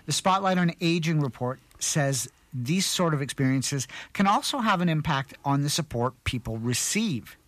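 A man's voice: on the high side, expressive, moderate pace, few pauses, a bit bright, dry recording. A middle-aged man reading a news story on a community radio show, with small slips and restarts. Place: radio studio.

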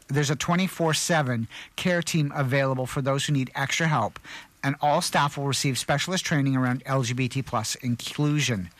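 A man's voice: fairly high pitch, fairly bright tone. A middle-aged man reading a news story on a community radio show, with small slips and restarts. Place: radio studio.

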